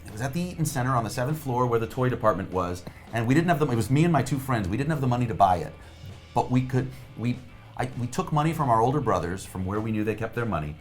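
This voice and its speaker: A man talks as an interview guest, and his voice rises and falls a great deal as he spins his stories. He sits at a middle pitch and speaks with eager enthusiasm. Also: fast, faint music behind. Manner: animated storyteller, enthusiastic. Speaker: actor, cast member. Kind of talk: casual interview chat.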